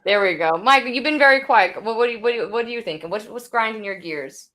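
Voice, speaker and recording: A woman co-hosting a casual livestream chat, excitable and quick to laugh. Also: a little high. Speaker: podcast co-host.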